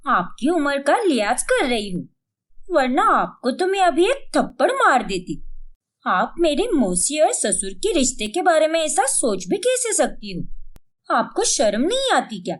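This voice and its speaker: A young daughter-in-law from a family drama story, acted by a woman. She is indignant and quick to scold. Her high voice moves up and down with very lively intonation. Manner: scolding, self-doubting, dramatic.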